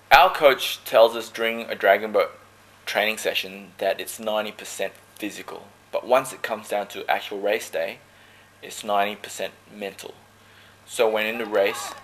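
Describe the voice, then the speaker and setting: Guy from malaysia, jokey and laid back, kinda low voice, bit of hiss behind. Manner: joking, chatty, relaxed. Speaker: dragon boat paddler. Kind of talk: casual interview answers.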